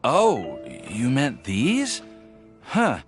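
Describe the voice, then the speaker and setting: A man's voice: medium pitch, drawn-out words, exaggerated voice. A man playing an affable, awkward young alchemist in a video game, chatty and easily flustered. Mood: amused, enthusiastic. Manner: hesitant, friendly, animated. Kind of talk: video game character acting. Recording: music playing behind the voice.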